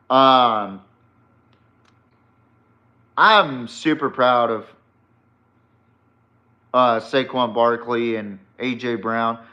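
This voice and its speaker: A man with a mid-pitched voice talks like a sports podcast host sharing strong opinions. His delivery is casual but emphatic and blunt, with free swearing. The recording sounds dull and lo-fi. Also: bright, forceful tone. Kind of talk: casual, opinionated solo football commentary.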